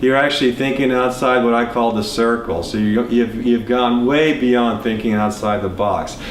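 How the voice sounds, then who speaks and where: A man walks through his thinking at a whiteboard, restarting sentences and drifting into asides. His voice sits at an ordinary middle pitch. The studio room gives a noticeable echo over a faint hiss. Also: fairly even intonation, normal speed. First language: Serbo-Croatian. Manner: loose, rambling explanation with many restarts and asides. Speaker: entrepreneur explaining ideas. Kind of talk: whiteboard talk to camera. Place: indoor studio in front of a whiteboard.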